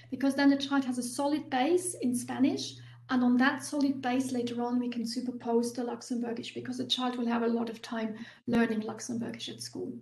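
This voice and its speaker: A woman's voice: a little high, a little mellow. Woman professor explaining in an echoey room. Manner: thoughtful, explanatory, many fillers and restarts. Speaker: professor answering audience questions. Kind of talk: university talk Q&A.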